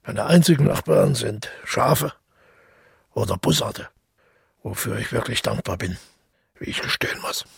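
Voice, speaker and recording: Husky, gravelly brooding recluse. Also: male voice, on the low side, expressive, no room sound, clean studio sound, exaggerated voice. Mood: quiet, content. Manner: musing, halting monologue. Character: reclusive brooding Scottish countryman remembering his childhood. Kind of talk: radio play monologue.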